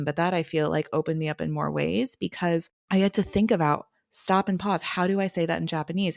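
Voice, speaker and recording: A woman co-hosting a podcast, talking in a candid, energetic way that includes casual swearing. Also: fairly low pitch, vocal fry, dry recording, studio quality. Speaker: podcast co-host.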